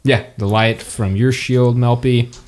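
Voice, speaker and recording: A man narrating as game master of a tabletop game, relaxed and quick to laugh. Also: medium pitch. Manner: relaxed, laughs often, hesitant fillers.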